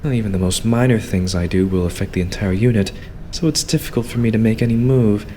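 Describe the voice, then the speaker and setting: Man playing a low-voiced, formal young butler idol. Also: mellow, a little hiss or hum, close to an everyday voice. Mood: reserved, matter-of-fact. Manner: formal, courteous, measured, servile phrasing. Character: polite young male idol butler, courteous yet quietly condescending. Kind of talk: anime-style fan dub acting.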